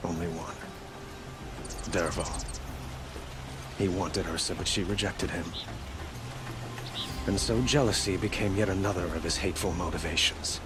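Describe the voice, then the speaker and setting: An actor playing a gentle, grieving young king in a game cutscene, speaking formally with measured, hesitant sincerity. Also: low voice, steady tone, noisy background. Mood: sorrowful. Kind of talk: dramatic video game cutscene acting. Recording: music behind the voice.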